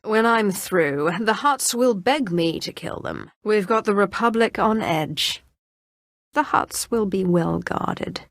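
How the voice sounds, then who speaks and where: A woman's voice: medium pitch, no room sound, studio quality. A woman playing a cruel, sardonic Sith sorceress in a video game, with an imperious, taunting manner. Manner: imperious, taunting, clipped lines. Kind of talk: video game character dialogue.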